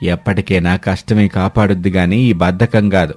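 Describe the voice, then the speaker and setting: A man voicing a sensible, fair-minded old village father, chiding in a measured way, in a story. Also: low-pitched, fairly even intonation, a little mellow, voice pushed down.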